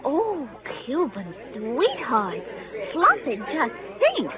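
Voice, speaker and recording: A woman's voice: high voice, wildly swooping pitch, very slow, narrow, tinny audio, full character voice. An actress playing a coquettish young woman who gushes cloying baby talk to her lapdog in a 1930s radio comedy. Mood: excited, egging on. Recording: thin, band-limited 1930s radio recording, crowd.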